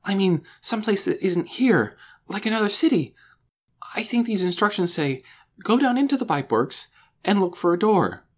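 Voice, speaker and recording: A woman voicing an excitable, insistent and earnest young girl in a children's audiobook, eager and emphatic. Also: mid-range voice, pitched above the performer's own voice, close to an everyday voice. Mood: earnest, hopeful. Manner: eager, emphatic, words rushing out. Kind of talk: children's audiobook character dialogue.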